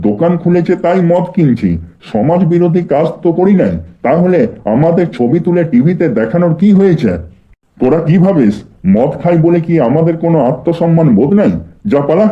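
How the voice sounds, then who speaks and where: A man's voice: a little high, close to an everyday voice. A man with a western rural West Bengal accent playing an irritable, defensive schoolteacher who scolds and threatens in a comedy cartoon. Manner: grumbling, scolding. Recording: dull, muffled lo-fi audio.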